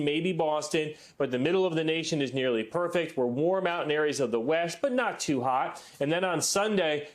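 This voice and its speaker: A male weather forecaster on a morning news broadcast, talking through the weekend outlook in a loose, chatty way. His voice sits a little high for a man, and he slips in casual asides between the map points. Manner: conversational, easygoing with light asides. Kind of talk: live TV weather segment.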